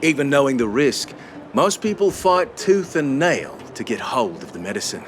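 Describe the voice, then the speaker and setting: A man's voice: medium pitch, sing-song, moderate pace, slight background noise, close to an everyday voice. A man voicing a world-worn, cynical miner in a video game, blunt and guarded, with music underneath.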